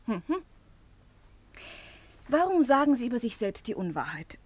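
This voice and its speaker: A woman's voice: fairly high pitch, very animated, a little slow, a little mellow, dry recording. A woman acting in a German radio drama as a spirited young woman, frank, teasing and conversational in her reproaches. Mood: teasing, reproachful.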